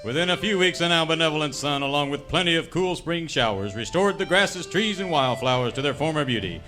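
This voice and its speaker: A man's voice: a little high, animated, a little fast, sharp tone. A man playing a grandiose announcer, narrating an old-time cowboy radio serial parody with mock melodrama. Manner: grandiose, dramatic. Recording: music behind the narration.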